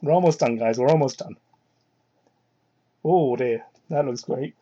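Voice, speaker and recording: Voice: unhurried, warm tone. A young adult reading fan fiction aloud in a mock-dramatic way, with sweary, sardonic asides, on a cheap microphone. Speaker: reader and commentator. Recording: muffled low-fidelity cheap microphone.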